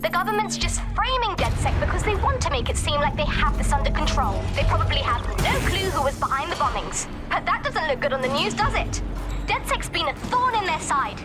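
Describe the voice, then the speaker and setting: A woman's voice: high voice, big pitch swings, brisk, over loud music. A woman playing a sceptical, opinionated caller on a radio show in a video game. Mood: indignant.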